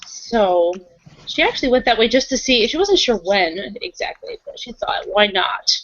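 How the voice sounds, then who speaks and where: Woman telling what her rpg character does, casual, highish voice, dull dry sound. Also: very animated, moderate pace, fairly bright tone, dry recording. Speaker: roleplay player. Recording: dull lo-fi voice recording.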